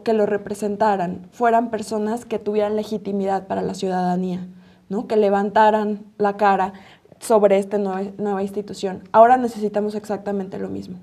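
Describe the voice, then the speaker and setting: A woman with a Mexican accent answering as an interview guest in deliberate, emphatic short phrases. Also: medium pitch, warm tone. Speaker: interview guest, rights organization advocate.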